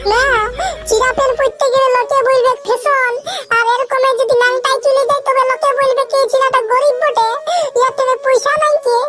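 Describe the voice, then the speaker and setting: An actor voicing a tearful, stubborn little village boy in a comic cartoon, with a Purulia Bengali accent, wailing and repeating complaints in a pitched-up voice. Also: level tone, a little fast, pushed and bright. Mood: whiny, insistent. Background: music.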